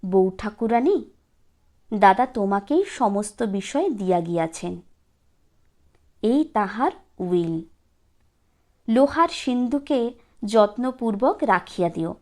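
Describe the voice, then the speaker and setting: A woman's voice: medium pitch, animated, slow, pauses often, darkish tone. A woman voicing a meek, dutiful, henpecked man in a storybook, speaking in an earnest, formal manner. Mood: resigned, dutiful. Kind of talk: storybook character voice.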